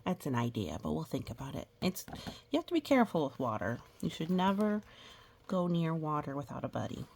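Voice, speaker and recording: A woman reading a picture book aloud to young children, gently pausing to explain things and ask questions. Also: deep voice, sing-song, vocal fry, a little hiss or hum. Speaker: children's story reader.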